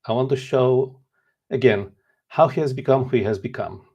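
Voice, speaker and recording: A man's voice: mid-range voice, moderate pace, a little mellow, slightly rough, highs cut off. A man in his forties from Poland, a native Polish speaker, talking earnestly and candidly as an author guest in an interview. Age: 40s. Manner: earnest, candid, self-deprecating. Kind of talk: casual interview chat.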